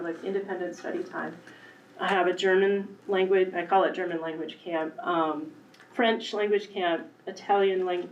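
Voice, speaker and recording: A woman's voice: lowish voice, a little hiss or hum. A woman elementary Spanish teacher presenting conversationally at a school committee meeting, with frequent ums. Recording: echoey room, distant, muffled.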